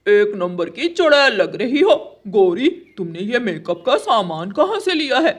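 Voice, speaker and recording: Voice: medium pitch, sing-song, measured pace. A performer voicing a blunt, mocking male crow in a cartoon, teasing and scolding.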